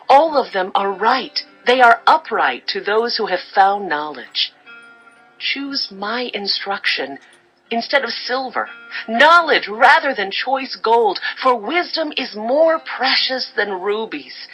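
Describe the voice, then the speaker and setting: A woman's voice: medium pitch, pushed and bright, slightly rough, heavily acted, low-quality recording. An actress playing Wisdom as a solemn, commanding female spirit, in a stately, declamatory dramatized scripture reading.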